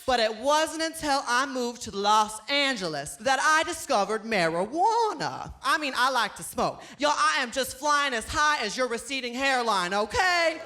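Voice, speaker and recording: A man's voice: extremely high pitch, lively intonation, moderate pace, hardly any pauses, pushed and bright, faint music behind. A drag queen comedian with a Texan accent, sassy stand-up on stage with a handheld mic. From Dallas, Texas. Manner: sassy, addresses crowd as y'all. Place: theater stage with live audience.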